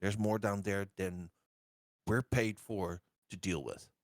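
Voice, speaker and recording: A man's voice: lowish voice, fairly even intonation, slow pace, no echo, clean studio sound. A man playing a tabletop game, cautious and reasoning questions through aloud. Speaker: player. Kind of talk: casual tabletop play talk.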